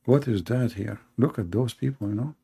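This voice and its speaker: A man's voice: medium pitch, moderate pace, creaky, warm tone, breathy edge. A man, hosting a commentary show and mocking video clips in a sarcastic, rambling way with many fillers.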